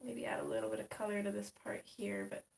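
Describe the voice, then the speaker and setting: A woman's voice: mid-range voice, level tone, quick pace, gravelly, noisy background. Chatty woman livestreaming in an echoey room. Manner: chatty, greets viewers by name, thinks aloud. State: getting over a cold with a slight cough. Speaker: livestream host. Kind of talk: casual livestream chat while coloring. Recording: roomy echo.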